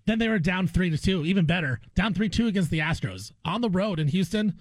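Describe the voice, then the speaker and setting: A man's voice: high-pitched, animated, brisk, dry recording. A man hosting a sports talk show, chatting casually with joking, self-deprecating asides. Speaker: sports radio host.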